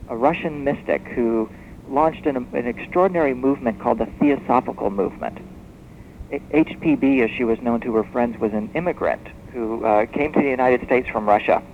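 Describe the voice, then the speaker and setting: A man speaking as an expert guest in a radio interview, explaining history as a story in a measured, discursive way. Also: medium pitch, vocal fry. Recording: muffled noisy shortwave radio tape.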